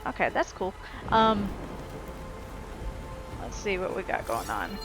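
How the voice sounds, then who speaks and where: A woman's voice: medium pitch, big pitch swings, slow, rough recording. A woman giving casual, informal and rambling gameplay commentary as she explains how a game works. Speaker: game streamer. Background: game music and sound effects.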